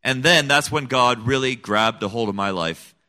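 A man's voice: medium pitch, moderate pace, bright, forceful tone. A middle-aged man raised in Senegal, giving an earnest, emphatic personal testimony as an interview guest. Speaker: guest, missionary kid.

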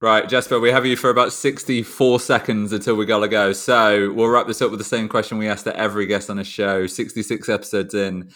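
A man's voice: on the low side, a bit bright. A man hosting a podcast in casual interview chat, with informal, slangy and joking phrasing. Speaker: podcast host.

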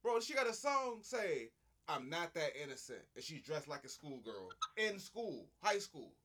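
A young man with a high, lively voice that swings up and down. He talks casually and crudely with a lot of animation, and he often breaks into snatches of song. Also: measured pace. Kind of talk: casual chat.

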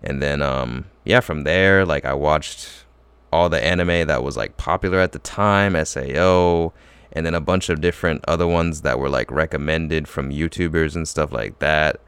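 A man's voice: rumbling low, normal speed, fairly bright tone, clear, resonant tone, dry recording. A young man telling a long story in casual chat, full of fillers and restarts. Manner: rambling, filler-heavy storytelling.

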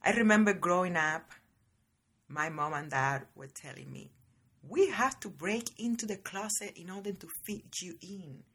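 A woman, hosting a podcast and telling her life story in a chatty, lively way, often calling her listeners guys. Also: on the low side, normal speed, slight background noise. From Cuba. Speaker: podcast host. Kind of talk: casual personal storytelling.

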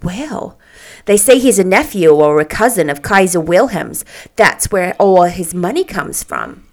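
Woman doing a chatty young woman, gossipy, confiding, eager, very lively intonation, storybook character. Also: lowish voice, measured pace, full character voice. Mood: curious, gossipy.

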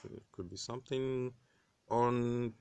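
A man co-hosting a casual podcast about manga and anime who talks excitably, teases his co-host and swears freely. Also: medium pitch, takes it slowly, clean tone, no echo. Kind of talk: casual chat.

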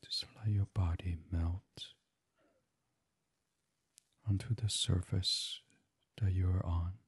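Deep, husky, breathy man guiding sleep meditation. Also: flat delivery, slow. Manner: soothing, hushed, drifting into whispers. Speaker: guided meditation host. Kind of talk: gentle guided sleep meditation, ASMR.

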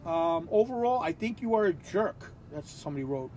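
A man's voice: fairly high pitch, animated, brisk, darkish tone, a little hiss or hum. A man, co-hosting a podcast in casual chat, reading notes aloud bluntly and with plenty of swearing. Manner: blunt and profane, mimics voices when retelling stories. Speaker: podcast co-host.

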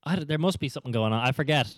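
Giggly young Dublin man, lively voice. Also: medium pitch, racing, no room sound, clean studio sound. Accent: Dublin Irish with an American tinge. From Ireland. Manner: chatty, giggly, animated storyteller. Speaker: podcast co-host. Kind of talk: casual podcast chat.

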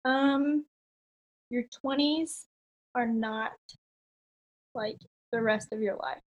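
Upbeat woman in her late 20s chatting on a podcast, kinda high voice, lots of ums and little laughs. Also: slow, dull audio. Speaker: podcast guest, online entrepreneur. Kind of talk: casual interview chat.

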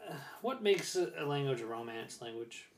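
A man co-hosting a podcast in casual chat, joking and quick to quip. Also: mid-range voice, sing-song. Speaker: podcast co-host.

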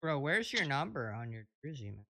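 A young man on a stream with his friends, throwing in short, deadpan lines full of "bro" and "dude". He speaks in a plain, mid-pitched voice. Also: brisk, creaky. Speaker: stream co-host. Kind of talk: casual chat.

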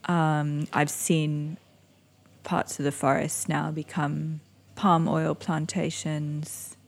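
A young woman who grew up in Indonesia, answering interview questions in a reflective way with many pauses and soft laughs. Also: low voice, little pitch movement, creaky. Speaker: interviewee, climate activist. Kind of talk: conversational interview.

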